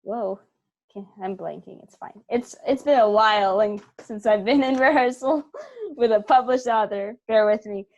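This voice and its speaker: A woman's voice: mid-range voice, normal speed, a little mellow, dull audio. A woman chatting as an interview guest, thinking aloud and searching for her words. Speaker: artistic director, interview guest. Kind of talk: casual interview chat.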